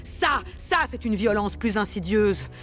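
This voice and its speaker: A woman's voice: medium pitch, lively intonation, a little slow, fairly bright tone, faint music behind. An actress playing an angry, defiant woman worker in a radio fiction, speaking with impassioned, militant bitterness. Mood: indignant, bitter.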